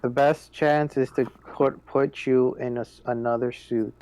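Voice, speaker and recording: A man's voice: mid-range voice, steady tone, a little slow, darkish tone, dry recording, low-quality recording. A man chatting casually as a player in a tabletop roleplaying game.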